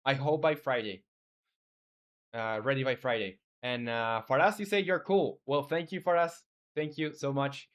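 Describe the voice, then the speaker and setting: A man's voice: medium pitch, moderate pace, a bit bright. Casual man chatting on stream. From Chile. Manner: informal, hesitant, reads viewer messages aloud and replies. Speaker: game developer live streamer. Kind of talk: casual livestream chat.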